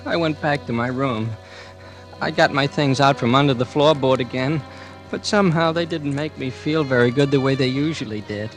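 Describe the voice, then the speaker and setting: A brooding, resentful young man, played by an actor tells his own story in a hushed, sullen voice. It comes from a vintage radio thriller with faint music beneath. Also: medium pitch, moderate pace, close to an everyday voice. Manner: quiet, sullen first-person narration. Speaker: narrator and lead. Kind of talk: 1940s radio acting.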